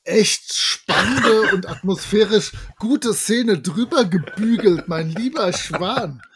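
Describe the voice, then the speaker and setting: A man's voice: high voice, animated, takes it slowly, a bit bright. A man, a podcast co-host casually retelling a story in a playfully ironic, colloquial way. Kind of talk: casual chat retelling and reviewing an audio drama.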